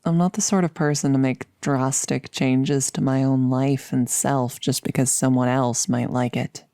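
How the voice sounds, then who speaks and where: Woman acting a low, gravelly, earnest girlfriend. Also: fairly even intonation, moderate pace, no echo, clean studio sound. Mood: earnest, resolute. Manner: hesitant, rambling, earnest, softly sighing. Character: nervous, resolute woman about to become a vampire. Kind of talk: intimate ASMR audio roleplay.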